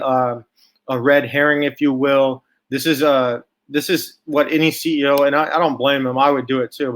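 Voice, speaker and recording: A man's voice: mid-range voice, fairly bright tone. A man giving informal solo stock market commentary, thinking aloud from notes in a blunt, skeptical, opinionated way.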